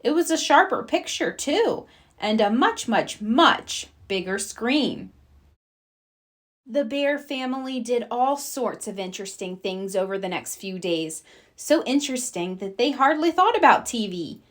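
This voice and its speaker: Lively, slow-paced woman reading a children's story. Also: on the high side. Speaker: storyteller reading a picture book. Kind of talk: expressive children's read-aloud narration.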